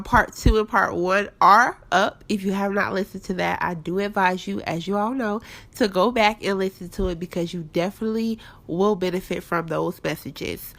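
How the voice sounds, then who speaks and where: Young woman, podcast host, congested, candid and direct with listeners, mid-pitched. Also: a bit bright. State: stuffed-up nose. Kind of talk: casual faith-based podcast talk. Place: apartment.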